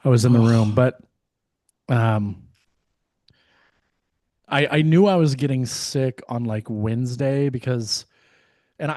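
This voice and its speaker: A man co-hosting a podcast, hoarse and losing his voice, talking in a casual, rambling way with many fillers and restarts. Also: medium pitch, darkish tone, dry recording, studio quality. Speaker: podcast co-host. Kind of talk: casual chat.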